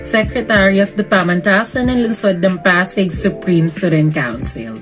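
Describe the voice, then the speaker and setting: A woman's voice: medium pitch, normal speed, over music. A woman in her twenties with a Filipino accent giving a scripted self-introduction as a student officer. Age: 20s. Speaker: student council secretary.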